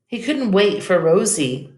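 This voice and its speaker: A woman reading a children's picture book aloud as an expressive storyteller. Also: mid-range voice, unhurried. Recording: echoey room.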